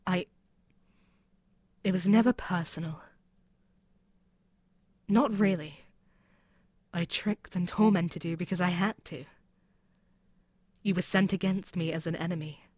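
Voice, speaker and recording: An imperious demon queen, acted by a woman for an audio roleplay, speaks slowly and close, with a dry, roomless sound. She is haughty and possessive, musing with pauses as if toying with the one listener before her. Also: fairly low pitch, fairly even intonation, darkish tone, dry recording. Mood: pensive, wounded pride. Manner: haughty, deliberate, musing, with pauses and murmured asides. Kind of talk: scripted ASMR audio roleplay, spoken intimately to the listener.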